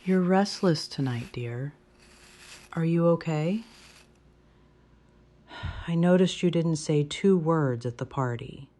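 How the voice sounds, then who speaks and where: Gentle, caring wife, acted by a woman. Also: sits low, slow, long pauses. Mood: tender concern. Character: gentle, wryly humorous wife, caring and observant. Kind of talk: audio drama dialogue.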